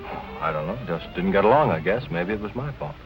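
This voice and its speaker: A man's voice: deep voice, big pitch swings, a little fast, over music, poor audio. An actor playing an earnest, solicitous man who is under suspicion and comforting his sweetheart, in 1940s film noir style. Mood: politely uneasy.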